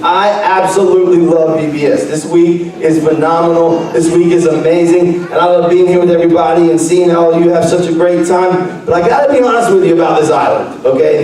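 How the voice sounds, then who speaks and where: A man with a high, mellow voice hosts a children's church program, bantering and joking through a comic skit. He is recorded from a distance, and his voice echoes through a big hall. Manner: playful, jokey, bantering. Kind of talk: comic skit hosting for kids. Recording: echoey hall, picked up from afar.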